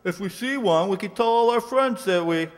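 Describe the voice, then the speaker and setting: Man playing very high-voiced starstruck newlywed husband. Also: moderate pace, close to an everyday voice. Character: earnest, starstruck newlywed male tourist. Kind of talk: old-time radio comedy acting. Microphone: stand microphone. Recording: echoey hall stage, faint noise.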